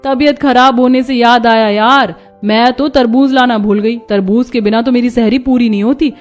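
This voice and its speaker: A chatty young housewife from a cartoon family story, played by a woman. Her voice is high and expressive. She talks in a friendly, helpful, neighbourly way. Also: moderate pace, faint music behind, close to an everyday voice. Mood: cheerful, chatty.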